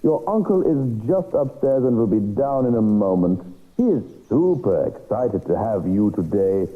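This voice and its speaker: A man's voice: on the low side, big pitch swings, measured pace. A man playing a friendly household helper talking playfully to a small child in a visual novel. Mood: friendly welcoming. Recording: tinny, narrow phone-like sound.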